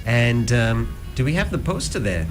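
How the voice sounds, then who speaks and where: Calm explaining man, radio guest. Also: medium pitch, normal speed, music in the background. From South Africa. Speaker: guest speaker, Vedanta teacher. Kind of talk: radio interview.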